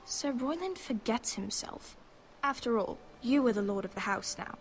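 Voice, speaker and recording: High-voiced, earnest young noble sister. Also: female voice, lively intonation, normal speed, a little hiss or hum. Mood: concerned, firm. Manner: earnest, gentle, reassuring. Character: caring, protective young noble sister in medieval fantasy. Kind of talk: dramatic video game voice acting. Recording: music behind the voice.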